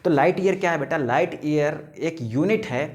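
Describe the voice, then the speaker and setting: Man, 30s, teacher, fairly high voice, asks and answers his own questions. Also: darkish tone. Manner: calls students "beta", asks questions and answers them himself; stretches key English terms out slowly, word by word. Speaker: biology teacher. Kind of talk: classroom lecture to students.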